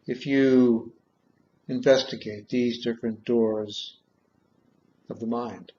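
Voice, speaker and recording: A man guiding a meditation in measured short phrases with long pauses, on a dull, muffled recording. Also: mid-range voice, vocal fry, a little mellow. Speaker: guided meditation leader. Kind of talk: guided meditation, slow soothing instruction.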